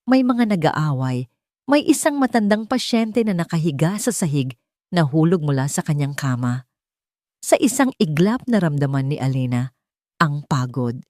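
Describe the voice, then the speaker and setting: A woman narrating a fictional audio drama in an expressive, dramatic storytelling manner. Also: lowish voice, no echo, studio quality.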